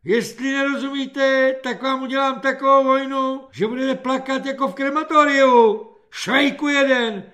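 A scornful young corporal played by a male narrator screams commands at his squad in a high, piercing voice. His pitch leaps all over as he barks and mocks. The sound is bright and cutting. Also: lively intonation, long pauses. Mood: angry shouting. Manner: yelling, scornful, barking orders. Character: shrill, bullying young army corporal.

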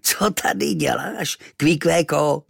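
A man's voice: on the high side, gravelly, fairly bright tone, slightly rough, dry recording, clean studio sound, voice pushed up, heavily acted. A man voicing a huge, tattooed, wild male harpooner who speaks in broken words, in a storybook. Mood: boisterous. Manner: broken speech, shrieks and guffaws.

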